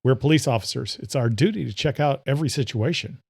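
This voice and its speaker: A man's voice: mid-range voice, quick pace, vocal fry, a little mellow, slightly rough, no room sound, studio quality. A man voicing a fatherly veteran policeman, hearty, proud and firm, in an audio thriller. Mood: insistent.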